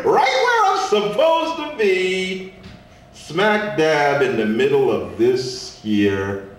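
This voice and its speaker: In a very echoey room, a man performs a weary, sassy Statue of Liberty as live spoken word. The folksy figure preaches in rhyme, with slow, drawn-out words and widely swooping pitch. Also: fairly bright tone, heavily acted. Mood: weary, playful. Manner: dramatic, sing-song rhymed delivery with drawn-out words. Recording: echoey room, distant mic, faint noise.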